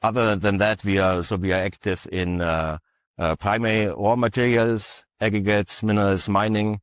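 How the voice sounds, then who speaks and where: A man presenting his company's equipment at an industry session, speaking haltingly with many filler uhs. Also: fairly low pitch, fairly even intonation, clean tone, no echo. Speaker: conference panelist.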